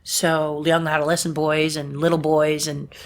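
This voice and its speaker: A middle-aged woman from Southern California with a deep voice, chatting about her puppetry work in an interview and laughing at her own stories. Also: flat delivery, moderate pace. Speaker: puppeteer guest. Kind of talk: casual interview storytelling.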